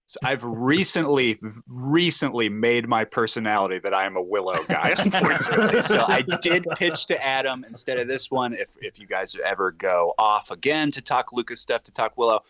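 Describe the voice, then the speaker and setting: A man's voice: mid-range voice, expressive, moderate pace, hardly any pauses, pushed and bright, dry recording. A man chatting casually as a podcast guest, with a wry, jokey way of talking. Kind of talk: casual chat.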